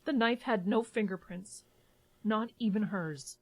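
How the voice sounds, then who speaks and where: An actress playing a worried, exasperated adult daughter and caregiver in an audio drama, speaking emphatically. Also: female voice, mid-range voice. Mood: somber, slow. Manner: brisk, emphatic, fraught.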